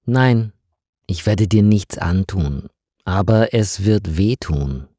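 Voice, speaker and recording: A man voicing a calm, eerie woman colonist on Mars in an audiobook, explaining things in a measured, solemn way. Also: lowish voice, no room sound, clean studio sound. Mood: calm, grave.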